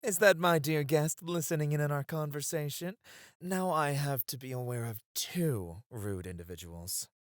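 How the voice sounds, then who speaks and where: A man's voice: fairly high pitch, normal speed, no echo, clean studio sound, lightly acted. A man playing a teasing, dramatic, flirty club host in an ASMR roleplay, with a coy, effusive manner.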